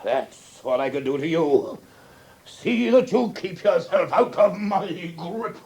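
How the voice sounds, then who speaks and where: An actor playing a burly, violent-tempered English doctor who blusters and threatens, in a radio drama. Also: male voice, high-pitched, lively intonation, takes it slowly, vocal fry, background noise, heavily acted. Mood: threatening, contemptuous.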